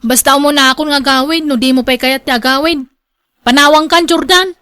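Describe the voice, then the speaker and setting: Young female lead: caring yet easily irked girlfriend, high voice, direct radio drama delivery, dry sound. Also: fairly even intonation, fairly quick, sharp tone, no echo. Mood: annoyed. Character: young girlfriend, caring but quickly irritated. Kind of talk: Ilocano radio drama acting.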